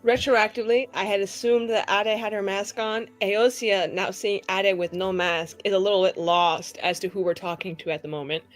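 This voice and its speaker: A woman with a middle-pitched voice comments casually as a player in a tabletop roleplaying game. She narrates her character's reactions in plain conversation, with faint music behind. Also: fairly even intonation, normal speed, few pauses.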